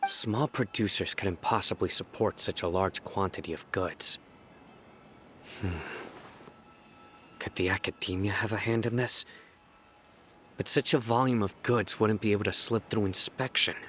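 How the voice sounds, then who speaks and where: An actor playing a stern, serious young male investigator who speaks in a measured, firm, grave way. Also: on the low side, a bit bright.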